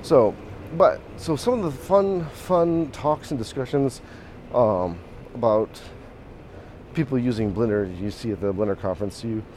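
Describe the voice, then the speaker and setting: A hoarse man hosting a podcast, talking casually while walking outdoors, rambling with restarts and small chuckles. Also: medium pitch, expressive, measured pace, a little mellow. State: hoarse, voice mostly shot. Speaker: podcast host. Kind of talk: casual walk-and-talk monologue. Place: outdoors on a city street. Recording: faint outdoor street noise. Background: street and traffic noise.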